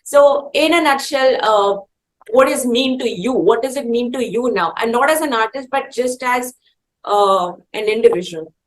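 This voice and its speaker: A woman's voice: fairly high pitch, normal speed, poor audio. A woman with an Indian accent hosting an interview in an enthusiastic, effusive, conversational way. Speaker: interviewer and show host.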